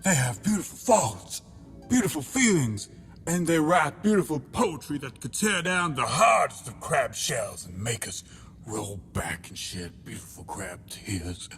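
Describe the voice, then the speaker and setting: A man's voice: medium pitch, expressive, unhurried, fairly bright tone. A man playing a pompous, sentimental crab detective who narrates his log in a grandiose, melodramatic comedy audio drama style. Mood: indignant, sentimental. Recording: music behind the voice.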